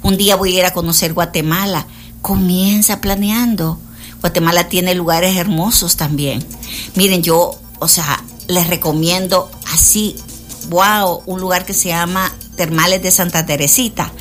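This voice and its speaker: A woman's voice: lowish voice, fairly even intonation, unhurried, faint music behind. A woman, a motivational coach chatting on the radio and addressing listeners directly. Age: 60s. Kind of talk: casual radio chat.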